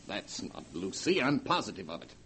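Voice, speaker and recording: A man's voice: sits low, big pitch swings, normal speed, fairly bright tone, husky, full character voice. A man playing a guilty, defensive suspect in a 1940s radio drama, speaking curtly. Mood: tense, curt. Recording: noisy vintage radio recording.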